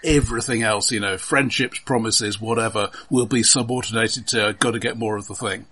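Man, slightly low voice, husky, analytical podcast talk, explanatory, repeats words while thinking. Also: steady tone, normal speed, sharp tone. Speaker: podcast host. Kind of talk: conversational film discussion.

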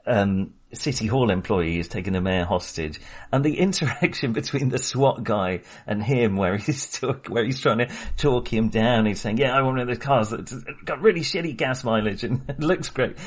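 Husky-voiced man chatting about a film. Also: mid-range voice, expressive, moderate pace, few pauses. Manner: rambling, restarts often, laughs while talking. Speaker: podcast co-host. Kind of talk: casual film discussion.

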